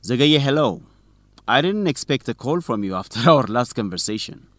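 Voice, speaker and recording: An actor playing a principled, stubborn young student journalist in an educational radio drama, speaking expressively and clearly. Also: male voice, mid-range voice, very animated, moderate pace, a bit bright, dry recording. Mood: guarded.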